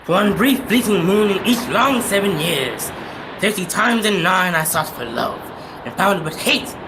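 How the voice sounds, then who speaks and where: An actor playing a bitter, defiant, centuries-old cursed sea captain, declaiming in grandiose archaic radio-drama style. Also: male voice, high voice, expressive, measured pace. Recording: clear background noise.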